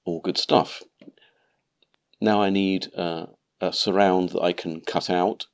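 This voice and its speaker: A man narrating a calm step-by-step tutorial, sounding nasal from a cold. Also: sits low, takes it slowly, creaky. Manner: calm, methodical explaining. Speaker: maker channel host.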